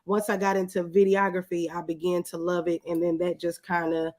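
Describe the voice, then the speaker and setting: A woman's voice: lowish voice, level tone, a little fast, a little mellow. A woman giving casual, plain-spoken, conversational answers as a guest in an interview.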